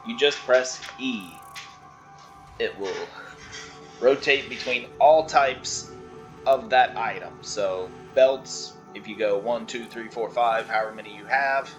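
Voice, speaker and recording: A man giving casual, chatty commentary while he plays a video game, laughing often. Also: medium pitch. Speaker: gameplay creator.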